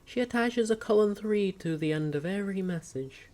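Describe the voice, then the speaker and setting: A woman's voice: medium pitch, animated, moderate pace, a little mellow. Woman narrating a comic dramatically.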